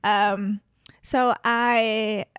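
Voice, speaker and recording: A woman's voice: mid-range voice, little pitch movement, very slow, fairly bright tone, clean tone, no echo, studio quality. A woman in her thirties with a South African Afrikaans accent, an Afrikaans native speaker, talking candidly and animatedly as a guest in a casual podcast interview, slipping into Afrikaans now and then. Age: 30s. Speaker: guest influencer. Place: small studio set with sofa. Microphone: boom mic on stand.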